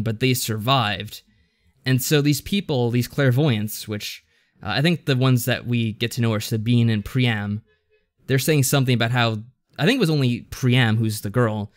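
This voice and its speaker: A man with a mid-pitched voice and a dry, close sound talks as a podcast co-host. He recounts a story casually, in a rambling and unsure way, often backing up to correct himself. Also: dry recording. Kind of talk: casual chat recapping a visual novel story.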